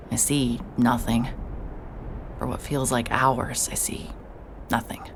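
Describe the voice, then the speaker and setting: Woman, very deep voice, sardonic first-person narrator, noisy backdrop. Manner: wry, sardonic, vivid. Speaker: narrator and lead in an audio drama. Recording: clear background noise.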